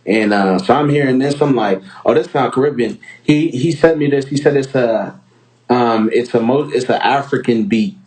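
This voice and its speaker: A man from Trinidad and Tobago with a medium-pitched voice talks as a music producer and host. His delivery is casual and slangy. He gives feedback in an encouraging, informal way, like someone chatting with followers. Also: normal speed, a little mellow, poor audio.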